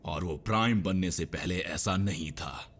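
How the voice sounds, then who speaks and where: A man voicing a knowledgeable veteran robot in a cartoon dub, gruff and matter-of-fact. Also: low-pitched, unhurried, fairly bright tone. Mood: explanatory. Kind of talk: dubbed cartoon acting.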